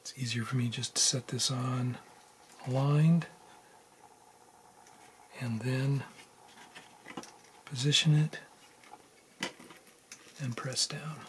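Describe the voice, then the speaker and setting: A man giving a casual, unscripted how-to in a low-key, halting murmur while he works with his hands at a bench. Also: fairly even intonation. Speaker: electronics hobbyist demonstrating a repair. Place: indoors at a workbench. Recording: noisy room with handling clicks.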